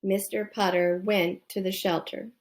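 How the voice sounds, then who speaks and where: A woman's voice: mid-range voice, level tone, a little slow. A woman reading a children's bedtime story aloud. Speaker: storybook reader.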